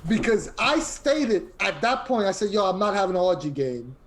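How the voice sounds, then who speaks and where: A man's voice: high voice, sing-song, normal speed. A man telling personal stories in an informal, emphatic way in a casual group chat. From Queens, New York City. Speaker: podcast panelist.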